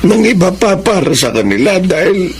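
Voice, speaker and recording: A man's voice: sits high, sing-song, normal speed, a little hiss or hum. An actor playing a humble, poor Filipino father in a radio drama, speaking with emotional, deferential gratitude. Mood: moved, humble.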